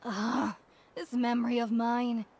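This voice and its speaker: A woman's voice: a little high, flat delivery, unhurried, darkish tone, a little hiss or hum. A woman voicing a kindly, scatterbrained villager in a video game, trailing off and getting flustered. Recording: music behind the voice.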